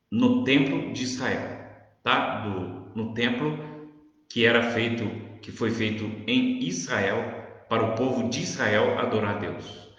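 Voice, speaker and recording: A man's voice: medium pitch, fairly bright tone. São Paulo man in a very echoey space. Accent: São Paulo Brazilian. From São Paulo, Brazil. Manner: conversational, didactic, frequent check-in tags. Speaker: online Bible teacher. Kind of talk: informal Bible teaching to camera. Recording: very echoey reverberant room, dull sound.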